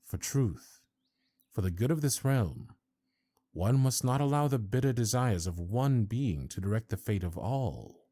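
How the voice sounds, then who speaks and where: A man playing a stern, haughty old high councilor of a fantasy realm in an audio roleplay, speaking in formal, archaic, deliberate phrases. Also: lively intonation, mellow, no echo, clean studio sound. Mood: grave, commanding.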